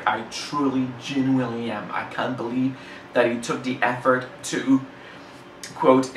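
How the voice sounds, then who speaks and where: A man's voice: mid-range voice, pushed and bright. A young man whose first language is French, chatting casually about a book, rambling and correcting himself as he goes. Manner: rambling, self-correcting, enthusiastic. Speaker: book reviewer. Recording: roomy, slightly distant mic, faint hiss.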